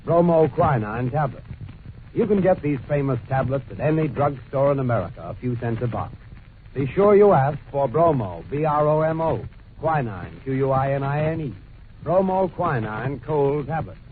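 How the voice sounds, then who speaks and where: A man's voice: mid-range voice, expressive. Formal male announcer, tinny old radio. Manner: deliberate, emphatic, formal. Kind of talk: 1940s radio commercial announcing. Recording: old narrowband radio recording with noise.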